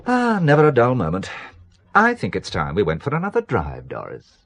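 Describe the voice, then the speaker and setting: A man's voice: medium pitch, huge pitch swings, normal speed, slight background noise. An actor playing a wry, secretive middle-aged businessman in a radio drama, dryly evasive and making light of things. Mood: brisk, absorbed.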